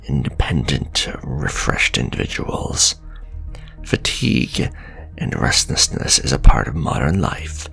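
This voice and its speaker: A man playing a stern, menacing government agent in an ASMR roleplay, speaking in a pompous, deliberate, accusatory way, half whispered. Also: a bit bright, exaggerated voice. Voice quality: deep, gravelly, breathy near-whisper.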